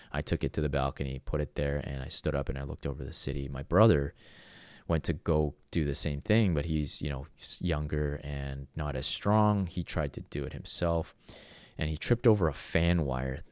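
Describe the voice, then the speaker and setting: A man's voice: extremely low pitch, no echo, clean studio sound. A man from Venezuela hosting a podcast alone, telling a personal story in an earnest, reflective and rambling way. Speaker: podcast host. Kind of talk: solo podcast monologue.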